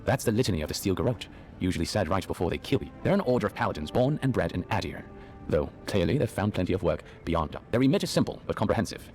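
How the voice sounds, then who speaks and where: Scholarly game archivist, fairly low, animated, quick, precise, earnest, faint music behind. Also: male voice. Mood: wry, informative. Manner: bookish, precise. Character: learned, earnest male imperial archivist explaining lore. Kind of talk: video game dialogue, expository.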